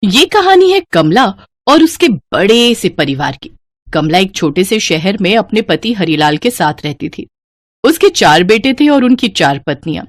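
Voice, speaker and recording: Female storyteller, expressive scripted narration, lively pitch movement, medium register, dry studio-like sound. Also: measured pace, dry recording.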